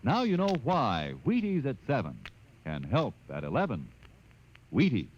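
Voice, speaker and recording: A man's voice: medium pitch, wildly swooping pitch, a little slow. A man with an American accent reading a folksy 1950s radio commercial, conversational and emphatic, posing questions to the listener. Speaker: commercial pitchman. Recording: dull, faintly noisy old radio recording.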